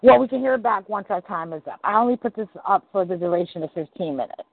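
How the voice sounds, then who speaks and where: A husky host chats loosely and excitably, full of fillers and swearing. The sound is thin and phone-like, with no room around it. The voice sits at a middle pitch. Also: fast, dry recording. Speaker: podcast host. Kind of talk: casual call chat. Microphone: call audio. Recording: tinny phone-like call audio, dry.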